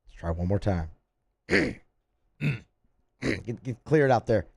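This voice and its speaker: A man's voice: lowish voice, expressive, slow, gravelly, a little mellow, breathy edge, dry recording. A man joking around as a radio show producer in casual studio banter, laid-back and self-deprecating.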